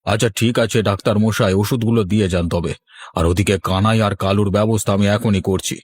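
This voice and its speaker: A man's voice: fairly low pitch, steady tone, quick pace, husky, dry recording. A man playing a stern, indignant middle-aged village father-in-law in a cartoon, with a threatening manner. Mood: angry, resolute. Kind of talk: cartoon voice acting.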